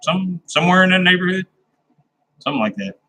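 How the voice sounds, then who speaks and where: A man's voice: high-pitched, lively intonation, a little fast, sharp tone. A man chatting casually with viewers on his live stream, rambling and laid-back, with a folksy, plain-spoken way of talking. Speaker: livestream host chatting with viewers.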